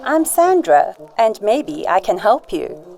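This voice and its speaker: A woman's voice: mid-range voice, very animated, takes it slowly, vocal fry, background noise, full character voice. A woman playing an alluring, confident mystery woman in a detective radio drama. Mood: coy.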